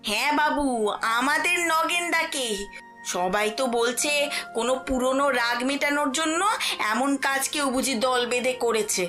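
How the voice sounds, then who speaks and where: A woman's voice: high voice, normal speed, sharp tone, soft background music. A woman with a West Bengal accent voicing a concerned, insistent and suspicious villager in a cartoon. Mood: worried. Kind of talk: cartoon voice acting.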